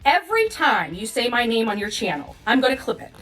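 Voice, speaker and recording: A woman's voice: on the high side, big pitch swings, brisk, noisy background. A woman content creator in an informal, unscripted rant to her audience, combative and emphatic, often repeating herself. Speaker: online video content creator.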